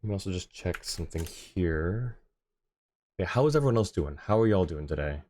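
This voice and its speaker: A man's voice: low voice, lively intonation, brisk, a little mellow, a little hiss or hum. A man hosting a casual livestream, talking in a friendly, chatty way to his viewers. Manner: friendly, chatty, thanks and greets viewers by turns. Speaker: live streamer, host.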